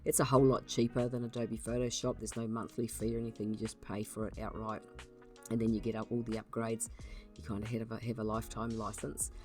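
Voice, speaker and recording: A woman with a croaky voice from being unwell, hosting a casual software tutorial and explaining her steps in a chatty way with many ums. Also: extremely low pitch, flat delivery, a little fast, soft background music. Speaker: YouTube channel host.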